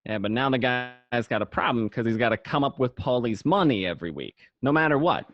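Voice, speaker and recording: A man's voice: medium pitch, lively intonation, fairly quick, no room sound, lightly acted. A man playing a cynical, streetwise gangster who narrates his story in a radio play. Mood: matter-of-fact, cynical. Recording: muffled, dry home recording.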